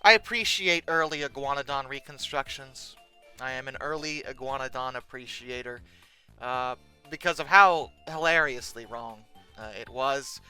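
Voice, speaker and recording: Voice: medium pitch, normal speed, pushed and bright, clear, resonant tone, soft background music, low-quality recording. A commentator giving humorous off-the-cuff commentary, stammering and hesitating, with bursts of theatrical exasperation. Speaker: YouTube host. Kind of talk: humorous unscripted commentary on pictures.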